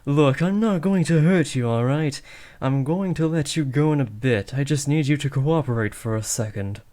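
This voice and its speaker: A man's voice: a little high, moderate pace, warm tone, natural voice. A young man playing a villain's teenage son in a scripted roleplay, taunting theatrically and slipping into sheepish, casual talk. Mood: sheepish, awkward. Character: teenage villain's son playing at kidnapping, cocky but awkward. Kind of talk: scripted roleplay audio addressed to the listener.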